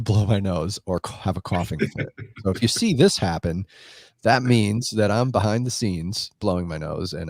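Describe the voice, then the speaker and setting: A man's voice: sits low, gravelly, a little mellow, dry recording, studio quality. A man hosting a live podcast with a congested, stuffy voice from a head cold, talking in a chatty, rambling way. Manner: chatty, rambling, self-interrupting. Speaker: podcast host. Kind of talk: casual live podcast chat. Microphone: desk microphone on a live stream.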